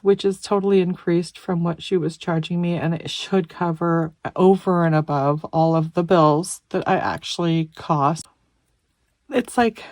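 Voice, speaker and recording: A woman's voice: mid-range voice, darkish tone, dry recording. Tired, stuffy woman chatting about her budget. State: stuffy and exhausted. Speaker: budgeting vlogger. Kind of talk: casual chat to camera while budgeting.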